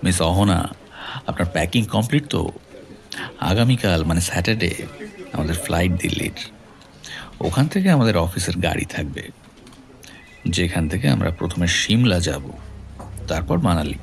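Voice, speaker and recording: A formal office superior acted by a man gives a matter-of-fact briefing in an audio drama, mixing in English words. His voice sits low with a gravelly edge. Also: expressive, moderate pace, long pauses. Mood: businesslike.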